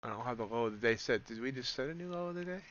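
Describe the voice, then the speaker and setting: A man commenting live on his trading, thinking aloud and correcting himself, his voice slightly raspy after many hours of streaming. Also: medium pitch, lively intonation, very fast, fairly bright tone, highs cut off, a little hiss or hum. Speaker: streamer, day trader.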